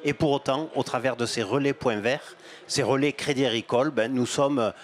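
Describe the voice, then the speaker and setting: Man explaining calmly into a handheld mic. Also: medium pitch. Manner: measured, with frequent "euh" and repeated words; gestures broadly while talking. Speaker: bank executive guest. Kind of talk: explanatory interview answers. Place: outdoor stand at an agricultural fair, on a sofa. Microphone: handheld microphone.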